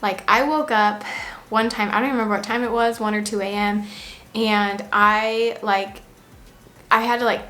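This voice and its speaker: A woman in her twenties from the United States, chatting casually to camera in a pregnancy vlog, laughing often and pausing to breathe through contractions. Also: mid-range voice, steady tone, sharp tone. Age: 20s. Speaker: vlogger.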